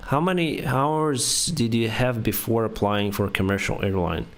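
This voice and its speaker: A young man speaking English as a second language, his first being Russian, with a lowish voice. He talks haltingly, pausing on fillers and restarting phrases, and reads out each viewer question before he answers it in a casual live-stream chat.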